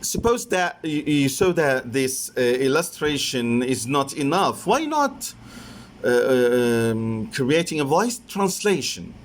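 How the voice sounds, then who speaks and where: A man's voice: medium pitch, big pitch swings. Egyptian man lecturing over background noise. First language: Arabic. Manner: halting, hesitant delivery with repeated phrases. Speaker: professor presenting an academic paper. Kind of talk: academic conference lecture with slides.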